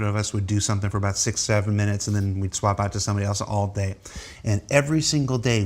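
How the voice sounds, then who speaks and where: A man's voice: fairly low pitch, darkish tone. A man hosting a casual talk show, reading viewer questions and rambling into wry, self-deprecating stories. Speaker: host of a developer panel show.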